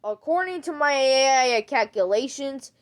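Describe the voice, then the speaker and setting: A woman acting out an improvised amateur skit, speaking haltingly and repeating her words. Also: high-pitched, animated, slight background noise. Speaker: creator acting out an emergency alert skit.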